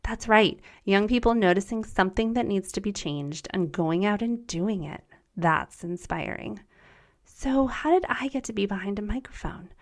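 A woman's voice: medium pitch, animated, normal speed. A woman in her forties, a native German speaker from the United States, hosting a solo podcast in an upbeat, encouraging, earnest way. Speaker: podcast host. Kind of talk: scripted solo podcast monologue.

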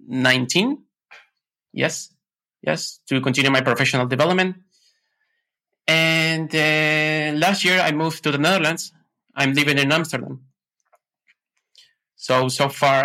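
A man with an Ecuadorian Spanish accent talks casually as a podcast guest. His voice is bright and forceful at a middle pitch. He speaks in a somewhat halting, hesitant way. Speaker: podcast guest, tech consultant. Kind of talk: casual interview chat.

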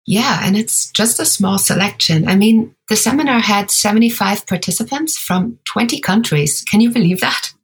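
Husky woman, podcast co-host, measured explainer delivery. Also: medium pitch. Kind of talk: scripted podcast narration.

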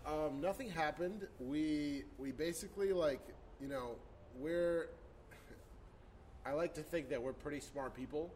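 A man's voice: a little high, slow, darkish tone, slight background noise. A man of about twenty-five telling how his businesses started, in an upbeat, hands-on way. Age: 20s. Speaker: business co-founder guest. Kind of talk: casual podcast chat.

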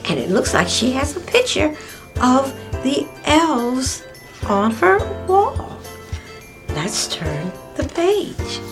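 A woman reads a story aloud for young children in a high, very animated voice. She draws out and repeats words for emphasis, with a creaky edge to her exclamatory delivery. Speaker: children's story-time host and reader.

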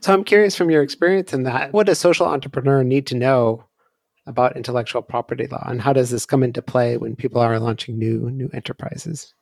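A man's voice: medium pitch, fairly even intonation, a little fast, vocal fry, darkish tone, dry recording, studio quality. A man interviewing a guest, asking short encouraging questions.

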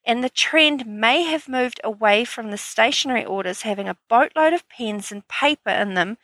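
A woman's voice: on the high side, lively intonation, normal speed, fairly bright tone, no echo. A woman hosting a solo business podcast, sounding a bit stuffy from hay fever, in an upbeat, chatty, explaining manner. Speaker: podcast host.

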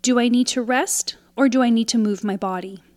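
A woman leading a guided meditation in a calm, soothing, instructional way, leaving pauses between short phrases. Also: a little high, no room sound. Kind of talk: guided meditation, scripted narration.

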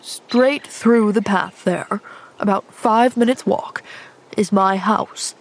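A woman reading a storybook aloud, voicing a gruff, irritable injured man who snaps his words. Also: mid-range voice, takes it slowly. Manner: snappish, grim.

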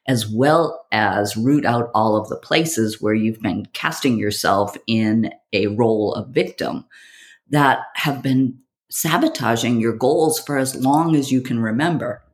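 A woman hosting a podcast, delivering a scripted monologue in a theatrical, provocative way with deliberate phrasing. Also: a bass voice. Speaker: podcast host.

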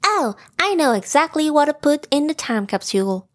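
A woman's voice: high-pitched, sing-song, normal speed, no echo, clean studio sound, voice pushed up. A woman voicing a confident, helpful koala who explains things eagerly in a bedtime story.

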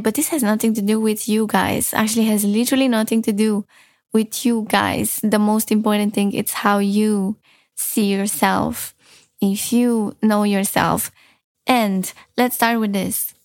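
A woman's voice: mid-range voice, fairly even intonation, unhurried, no echo. Earnest young woman, dry close voice. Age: 20s. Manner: earnest, frequent "like" fillers, repeats phrases for emphasis. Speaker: self-help vlogger. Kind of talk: casual motivational talk to camera.

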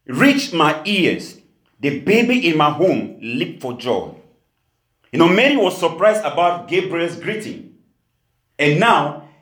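Pastor from Cameroon, male, emphatic sermon delivery, echoey church hall. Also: on the high side. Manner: emphatic preaching, laughing asides, rising to shouts.

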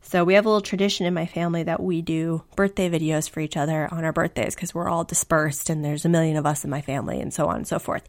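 A woman co-hosting a relaxed podcast at home, chatting and laughing often. She pokes fun at herself, and her voice is only just back after the flu. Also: deep voice, quick pace, few pauses. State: recovering from flu, voice recently lost. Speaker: podcast co-host. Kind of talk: casual chat.

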